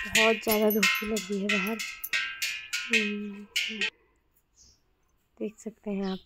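A woman in her thirties with a Kumaoni accent, talking straight to her viewers in a chatty, easygoing way. She records on a phone held at arm's length outdoors in the hills, with heavy noise all around her. Her voice sits at a middle pitch for a woman. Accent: Kumaoni Hindi. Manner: chatty, addresses viewers directly. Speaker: vlogger. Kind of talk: casual travel vlog narration.

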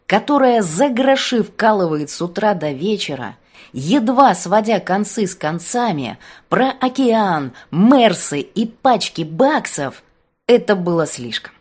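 A woman's voice: medium pitch, big pitch swings, a little slow, darkish tone, husky. A woman narrating a novel as an audiobook in a dramatic scripted reading style.

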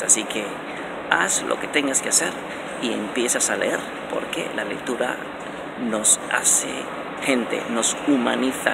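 Gravelly man vlogging in a noisy station. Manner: animated and repetitive, many restarts, stressing words with rising pitch. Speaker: vlogger giving self-help advice. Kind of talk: casual motivational vlog. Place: indoor underground transit station, concrete walls. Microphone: phone camera held close at arm's length. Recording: noisy phone recording in a station.

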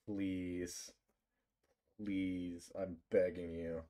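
A man's voice: deep voice, little pitch movement, extremely slow, mellow, slight background noise. A man live streaming a game, chatting casually in a rambling, jokingly dramatic way. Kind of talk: casual gaming livestream chat.

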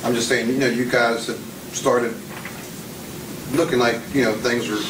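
A man with a flat, fairly low voice puts a rambling, hesitant question to a coach. He sounds distant in an echoey press room with noticeable background noise. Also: normal speed. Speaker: reporter.

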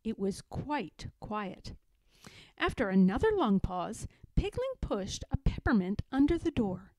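A woman reading a children's story aloud in an expressive, deliberate storytelling manner. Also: medium pitch, no echo. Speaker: storybook reader. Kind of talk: children's read-aloud narration.

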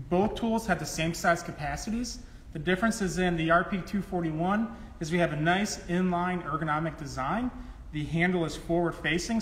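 A man in his thirties giving a step-by-step, instructional product demonstration. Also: fairly high pitch. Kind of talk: live tool demonstration. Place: trade show booth in a large indoor hall. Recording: echoey hall, loud clicks and background noise.

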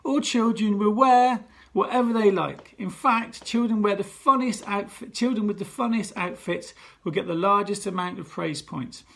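A man voicing a brash male head teacher who acts like a showman, with loud, drawn-out greetings. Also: sits high, creaky, voice pushed up, full character voice. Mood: breezy.

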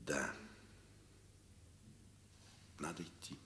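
A man's voice: extremely low pitch, very slow, bright, forceful tone, exaggerated voice. An actor playing a guilty middle-aged father, hesitant and pleading, in a Soviet radio play.

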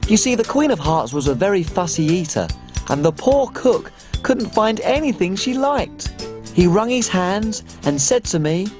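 A performer voicing a tiny cheerful cook on a children's show, telling a story in an excitable way. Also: medium pitch, moderate pace, faint music behind, close to an everyday voice. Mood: storytelling. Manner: bouncy, excitable.

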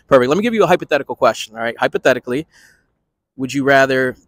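A man in his thirties interviewing people on a city street with a handheld mic, quick-talking and repeating short affirmations. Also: medium pitch, expressive, dry recording, low-quality recording. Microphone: handheld microphone.

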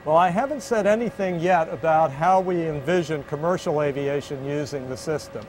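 A man's voice: fairly high pitch, moderate pace, a little hiss or hum, low-quality recording. An American man in his sixties, an aviation official, explaining methodically to camera on a lapel mic. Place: inside an aircraft cabin.

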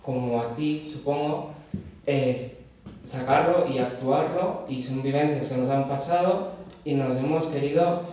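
A man's voice: mid-range voice, a little slow, dark tone. A man from Catalonia, a forum theatre participant, talking earnestly at an open forum. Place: theatre auditorium. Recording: echoey hall, voice from far off.

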